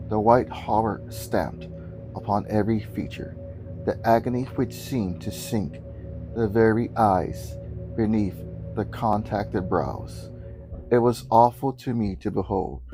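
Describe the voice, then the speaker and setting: Guy narrating a spooky old story, real slow and dramatic, music playing clearly behind him. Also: on the low side, low-quality recording. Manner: deliberate, theatrical. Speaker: guest story reader. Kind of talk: dramatic scripted ghost story reading.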